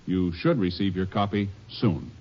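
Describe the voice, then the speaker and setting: A man's voice: low voice, normal speed. A man announcing an old radio show in a formal manner, reading notices and credits. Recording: lo-fi old radio broadcast with music.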